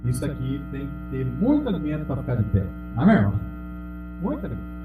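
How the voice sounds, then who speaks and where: A man's voice: wildly swooping pitch, fast, narrow, tinny audio. A man preaching a sermon with a Brazilian Portuguese accent, calling out to the congregation and pressing hard on key words. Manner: emphatic preaching, calls out to the congregation, drops low and loud on key words. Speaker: pastor. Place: church hall. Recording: echoey, from far off, music behind.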